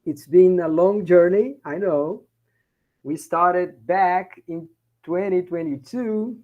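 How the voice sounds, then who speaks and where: A Brazilian professor with a Brazilian Portuguese accent, teaching a class in English, often checking in with "okay?" and repeating points in Portuguese. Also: male voice, sits high, takes it slowly, narrow, tinny audio. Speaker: university professor. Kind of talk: bilingual class lecture.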